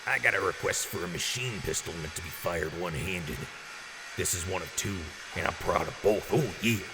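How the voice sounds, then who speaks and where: A man playing a boisterous, generous wasteland quartermaster in an audio drama, with a hyped showman's delivery. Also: moderate pace. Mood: proud, easygoing. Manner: hyped showman with booming catchphrase outbursts. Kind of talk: post-apocalyptic audio drama acting. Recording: noisy, reverberant mix.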